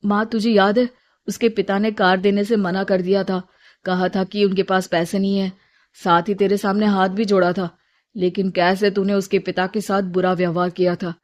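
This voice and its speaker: A woman's voice: mid-range voice, little pitch movement, moderate pace, close to an everyday voice. A woman voicing a frank adult son in a cartoon story, earnest and reproachful. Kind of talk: acted cartoon story dialogue.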